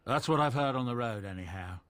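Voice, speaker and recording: A man's voice: mid-range voice, lively intonation, a little fast, a bit bright, natural voice. A man voicing a genial middle-aged dwarf merchant in a fantasy game, with an obsequious salesman's courtesy. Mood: confiding, gossipy.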